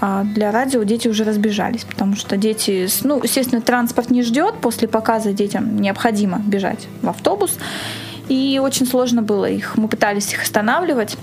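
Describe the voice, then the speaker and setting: A woman with a fairly high voice answers as an event organiser in a casual radio interview. She is talkative and often repeats and rephrases a point to stress it. Light music is present with her voice. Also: a little fast, a little mellow.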